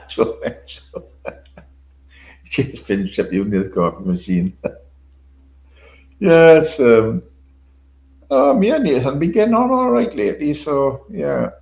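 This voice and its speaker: A man's voice: fairly high pitch, big pitch swings, slow, creaky, pauses often, darkish tone, rough recording. An older man hosting a casual livestream, rambling, repeating himself, swearing casually and chuckling to his viewers. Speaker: livestream host. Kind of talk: casual solo livestream chat.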